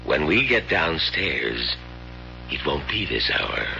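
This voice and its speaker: Mysterious stranger guy, super deep, slow n deliberate, insinuating, old radio drama, muffled sound. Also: big pitch swings, vocal fry, sharp tone, exaggerated voice. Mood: commanding reassurance. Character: mysterious, coolly persuasive stranger offering second chances. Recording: dull vintage radio recording with music.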